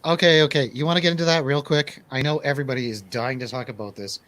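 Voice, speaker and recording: Man, radio show host, mid-pitched, casual film review talk, opinionated, dry sound. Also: fairly even intonation, quick pace, fairly bright tone, no echo. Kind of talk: casual movie review chat.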